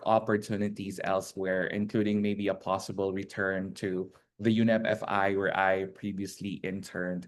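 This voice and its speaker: A man answering a panel host's question as a graduate student, measured and thoughtful, with frequent fillers, over a video call. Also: fairly low pitch.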